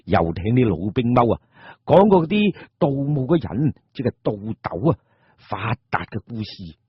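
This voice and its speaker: A man narrating a radio drama as a storyteller, with a dramatic, animated and colloquial delivery. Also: fairly low pitch, slightly rough, dry recording, muffled recording.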